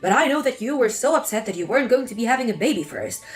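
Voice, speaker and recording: A woman doing a low, spiteful old mother-in-law. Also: expressive, fast talker, faint music behind, close to an everyday voice. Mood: defensive. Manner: scolding, contemptuous. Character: spiteful older mother-in-law, scornful and cold. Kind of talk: scripted text-story drama reading.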